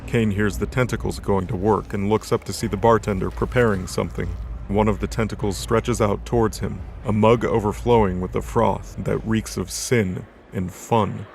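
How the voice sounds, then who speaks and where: A man's voice: lowish voice, fairly even intonation, moderate pace, vocal fry, darkish tone, a little hiss or hum. A man reading scripted, dramatic narration for an audio drama story. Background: background music.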